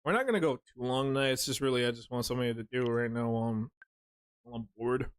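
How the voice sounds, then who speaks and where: A man's voice: mid-range voice, fast, clear, resonant tone, dry recording. Rambling, foul-mouthed male streamer. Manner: rambling, profane, jokey storytelling. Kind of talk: casual gaming stream chat.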